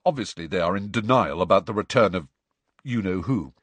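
A man plays a self-important teenage schoolboy who declaims as if to a crowd. His tone is lively and a little husky. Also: sits low, fairly quick, dry recording, full character voice. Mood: pompous. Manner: pompous, declaiming, loud. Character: pompous self-important teenage boy student.

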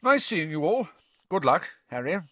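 A man's voice: fairly high pitch, very animated, normal speed, sharp tone, dry recording, pitched above the performer's own voice. A man voicing a jovial, shifty middle-aged sports official in an audiobook, falsely chummy, with a hesitant, confiding, blustering manner. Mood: flustered bonhomie.

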